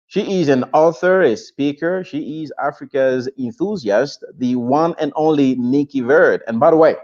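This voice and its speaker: Energetic male live-stream host, lo-fi audio. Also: fairly high pitch, moderate pace. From DR Congo. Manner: energetic, effusive, with frequent restarts and fillers. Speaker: show host.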